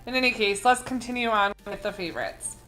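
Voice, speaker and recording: Slightly hoarse mom reviewing beauty products. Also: female voice, fairly high pitch, brisk, bright, forceful tone, soft background music, poor audio. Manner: chatty, explaining with frequent fillers. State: slightly hoarse from a head cold. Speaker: beauty vlogger, mother. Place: indoor home room with string lights.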